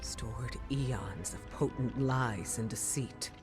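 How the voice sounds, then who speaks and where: A man playing an ominous monstrous herald in a video game, proclaiming dark power with music behind. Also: medium pitch, slow pace, close to an everyday voice. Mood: ominous, reverent. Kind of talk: dramatic game dialogue.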